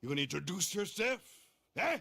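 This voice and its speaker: Gravelly, menacing Mexican gang boss. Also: male voice, high voice, big pitch swings, bright, forceful tone, full character voice. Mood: mocking, suspicious. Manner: slow, mocking, threatening. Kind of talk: video game character acting.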